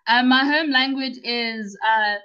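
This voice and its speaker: Chatty young South African woman, slightly high. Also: unhurried, clean tone. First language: Northern Sotho and Tsonga. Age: young adult. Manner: relaxed, chatty, laughs often. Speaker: guest, university student and podcaster. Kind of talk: casual interview chat. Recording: muffled lo-fi audio.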